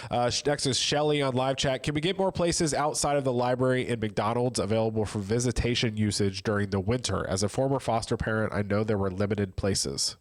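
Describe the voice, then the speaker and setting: A man's voice: mid-range voice, a little fast, few pauses. A man in his thirties with a cold and a Midwestern American accent, co-hosting and reading viewer questions aloud with casual asides. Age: 30s. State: has a cold. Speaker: co-host reading live-chat questions. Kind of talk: casual talk show chat.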